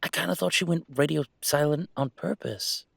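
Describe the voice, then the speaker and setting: Casting: young male werewolf played by a man for boyfriend roleplay audio; gravelly fry, close dry sound, halting, affectionate, conversational delivery. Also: on the high side, a bit bright, no echo, heavily acted. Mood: pensive, wry. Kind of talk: intimate audio roleplay acting, one-sided conversation.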